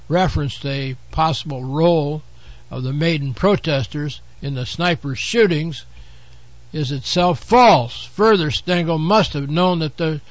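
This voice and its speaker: Hoarse man rambling on his own show. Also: fairly high pitch, dry recording, highs cut off. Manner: rambling, with frequent "uh" and offhand asides. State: hoarse from a head cold. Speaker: host. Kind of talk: casual talk-show monologue.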